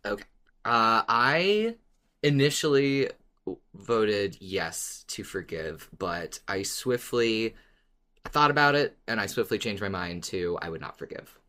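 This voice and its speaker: Excitable, emphatic podcast co-host. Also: mid-range voice, a little slow. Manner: excitable, emphatic, peppers talk with "like". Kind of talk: casual fan discussion chat.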